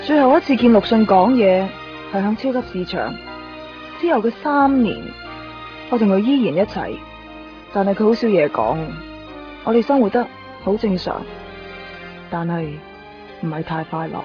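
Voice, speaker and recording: A woman's voice: mid-range voice, lively intonation, normal speed, long pauses, natural voice. Actress as melancholy woman over music. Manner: subdued, reflective monologue. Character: melancholy woman recalling an unhappy relationship. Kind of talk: radio drama narration. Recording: dull radio recording, music behind. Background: clear background music.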